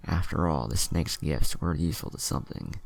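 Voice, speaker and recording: A man's voice: rumbling low, a little fast, creaky, exaggerated voice. A man voicing a confident young male ninja hero in an audio story. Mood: musing. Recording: music under the voice.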